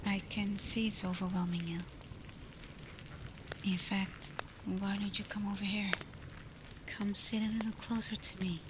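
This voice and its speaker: Casting: woman to play protective female alpha werewolf for ASMR roleplay; hushed, unhurried, even delivery, gently teasing, loud storm ambience. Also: mid-range voice, noisy background, lightly acted. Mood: teasing, coaxing. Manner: soft, breathy, often near a whisper, soothing. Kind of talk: ASMR roleplay, spoken intimately to the listener. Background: thunderstorm and rain ambience.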